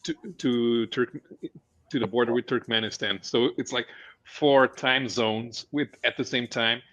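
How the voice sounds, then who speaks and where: A man from Portugal co-hosting a casual live chat, speaking haltingly and chuckling at his own jokes. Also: mid-range voice, steady tone, fairly bright tone. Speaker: co-host. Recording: dull lo-fi mic.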